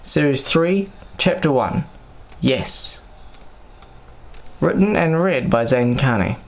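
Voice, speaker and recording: A man's voice: medium pitch, lively intonation, slow pace, vocal fry. A man reading scripted audiobook narration as the story's narrator.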